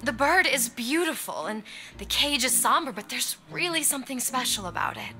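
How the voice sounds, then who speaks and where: An actress playing a sweet, eager young woman companion in a video game, with a girlish, earnest and expressive way of talking. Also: high-pitched, moderate pace. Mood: delighted. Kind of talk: scripted video game dialogue. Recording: game audio with music behind.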